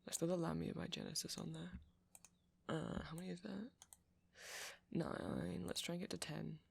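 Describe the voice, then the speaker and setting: A man's voice: fairly high pitch, level tone, moderate pace, vocal fry, a little hiss or hum. A man playing a nerdy, kindly vampire who rambles hesitantly and reassuringly in an ASMR roleplay. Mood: absorbed, rambling. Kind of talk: ASMR roleplay, soft conversational monologue.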